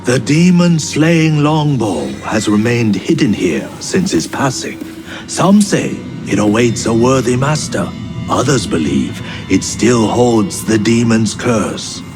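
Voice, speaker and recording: An actor playing a solemn male storyteller who narrates ancient legends in a measured, dramatic manner for a video game. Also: medium pitch, lively intonation. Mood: solemn, ominous. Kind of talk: scripted narration of legendary tales. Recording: music behind the voice.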